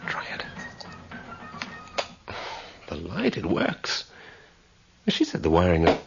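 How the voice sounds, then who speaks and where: A man's voice: low voice, huge pitch swings, takes it slowly, creaky, over music, exaggerated voice. An actor playing an uneasy man alone in a haunted room at night, muttering wryly to himself in a radio drama. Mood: nervous. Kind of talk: 1980s radio drama acting. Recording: slightly dull 1980s radio recording.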